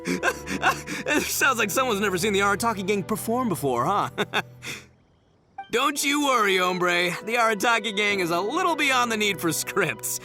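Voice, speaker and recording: An actor playing a brash, boastful but good-natured young gang boss in a video game, cocky and informal. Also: male voice, high-pitched, sing-song, normal speed, bright, forceful tone, faint music behind, exaggerated voice. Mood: cocky, excited.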